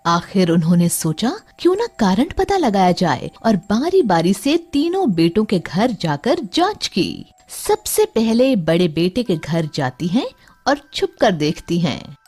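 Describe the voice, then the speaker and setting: A woman's voice: mid-range voice, warm tone, slightly rough. A woman narrating a scripted cartoon folk tale as a storyteller, with music behind her.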